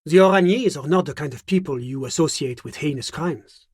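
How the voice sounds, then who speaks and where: An actor playing a genteel, smug bureaucrat in a video game, a devoted moralist who speaks in polite, officious, measured formal phrases. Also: male voice, fairly high pitch, a little mellow, breathy edge, dry recording, clean studio sound. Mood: courteous, concerned. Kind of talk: scripted game dialogue.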